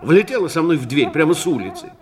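An actor in a radio play voicing a genial, shrewd police inspector, chatty and avuncular, with musing hums and repeated words. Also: male voice, fairly high pitch, sing-song, fast, background noise. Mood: musing, inquisitive.

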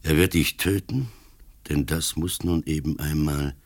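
Husky, very deep old commissioner. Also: male voice, full character voice. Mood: grave, resolute. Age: older. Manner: measured, solemn, pronouncing judgement. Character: old, grimly resolute police commissioner. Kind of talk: German radio play acting.

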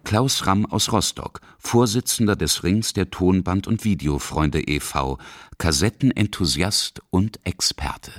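A man narrating a scripted radio feature in a husky voice. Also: deep voice, unhurried, no room sound, clean studio sound.

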